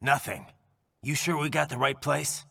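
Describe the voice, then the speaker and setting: An actor playing a gruff, defiant American cyborg ninja in a video game, terse and intense with a gravelly, raspy voice. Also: male voice, fairly high pitch, steady tone, moderate pace, bright, forceful tone, in a large hall, exaggerated voice. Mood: focused, terse. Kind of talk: video game cutscene acting.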